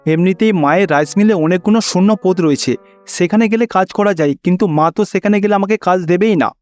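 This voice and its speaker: A man's voice: sits high, brisk, darkish tone, faint music behind, lightly acted. A man voicing a worried, dutiful young married son who pleads earnestly in a cartoon.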